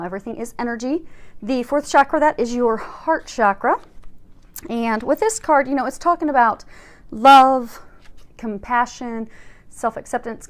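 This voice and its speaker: This is a woman with a fairly high voice, hosting a spiritual tarot reading. She talks to her viewers casually and conversationally. Her manner is reassuring and encouraging throughout. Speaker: tarot card reader and host.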